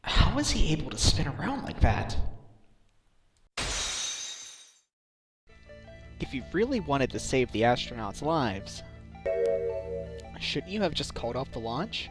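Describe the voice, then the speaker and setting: A man's voice: medium pitch, big pitch swings, normal speed, husky. A man voicing a wry, determined defense attorney in a video game fandub, questioning assertively with dry asides. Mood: skeptical. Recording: amateur home recording under game music.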